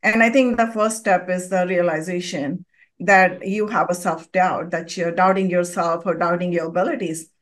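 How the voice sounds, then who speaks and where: A woman's voice: fairly low pitch, steady tone, normal speed. A woman hosting an interview podcast, asking her guest long, winding questions. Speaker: podcast host.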